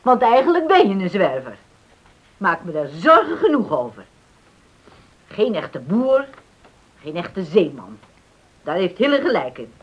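An actress playing a firm, motherly older village woman in a Dutch radio play, husky and admonishing. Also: mid-range voice, very animated, measured pace, pauses often, lo-fi sound.

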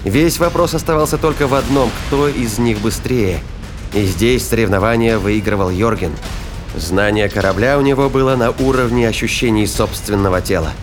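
A man's voice: lowish voice, normal speed, music in the background. A man giving scripted, dramatic narration for a science fiction audio drama.

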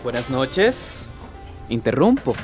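A man's voice: medium pitch, sing-song, takes it slowly, over music. A man playing a young adult son in a radio drama, polite but easily shaken and hesitant when nervous. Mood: politely teasing.

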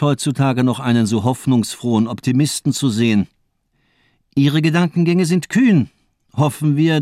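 A man's voice: medium pitch, darkish tone, dry recording, clean studio sound, natural voice. A man playing a coolly mocking, menacing doctor in a German radio drama, speaking with polite, articulate condescension. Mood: ironic, amused. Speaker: actor in a crime radio play.

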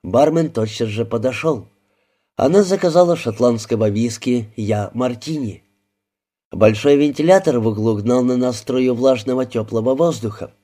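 Male audiobook narrator, mellow mid-pitched voice, measured first-person storytelling.

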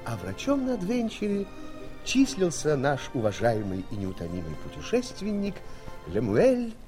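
An actor telling a sea adventure tale as the theatrical narrator of an audio play. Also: male voice, a little high, wildly swooping pitch, normal speed. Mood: dramatic storytelling. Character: storyteller of a sea tale, doubling as ship's captain. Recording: vintage mono record, music behind.

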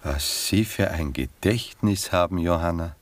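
An actor playing a cultured, melancholic gentleman poet in a German radio drama, speaking in a measured, courteous, reflective manner. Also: deep voice, dry recording. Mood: courteous, wry.

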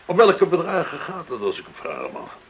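An actor in a radio drama playing a courteous, smooth-talking gold dealer, effusively polite and theatrical in manner. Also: male voice, fairly high pitch, huge pitch swings, brisk, a bit bright, exaggerated voice, low-quality recording. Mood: courteous, probing. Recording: hissy old radio drama recording.

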